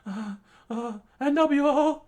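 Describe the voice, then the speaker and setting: A man's voice: very high-pitched, slow pace, darkish tone, pitched above the performer's own voice. A woman voicing a nervous, dramatic young man who stammers when flustered.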